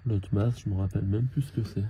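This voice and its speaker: A man talking casually and haltingly through hands-on work, thinking aloud as he goes. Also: lowish voice, steady tone, mellow, slight background noise, rough recording. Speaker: car mechanic hobbyist filming his own repair. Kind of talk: casual hands-on tutorial narration.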